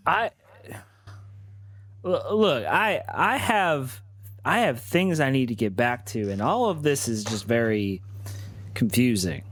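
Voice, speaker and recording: A man's voice: medium pitch, animated, slow pace, pauses often, a bit bright. A man playing a tabletop roleplaying game, improvising in character in a flustered, stammering way.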